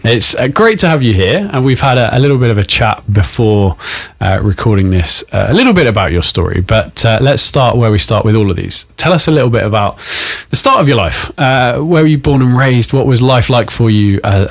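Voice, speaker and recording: A man's voice: medium pitch, lively intonation, fairly quick. A man presenting a radio interview show from a studio, chatty and encouraging toward his guest.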